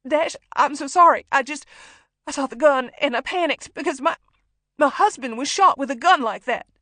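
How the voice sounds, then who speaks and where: Woman acting a desperate, high-pitched female hostage, pleading. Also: sharp tone, dry recording. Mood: desperate, placating. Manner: breathless, halting pleading. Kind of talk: audiobook character acting.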